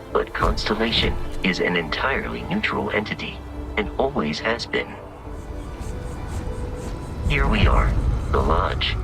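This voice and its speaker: A man voicing a polite, matter-of-fact robot guide in a video game, speaking in formal, precise phrases. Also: low voice. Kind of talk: scripted video game acting. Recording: loud music behind the voice.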